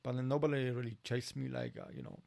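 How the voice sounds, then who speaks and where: A middle-aged man with a Polish accent, whose first language is Polish, talks in a relaxed podcast chat. His voice has a gravelly fry, and his English comes in halting bursts with repeated words and fillers. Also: medium pitch. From Poland. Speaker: podcast guest, door security worker.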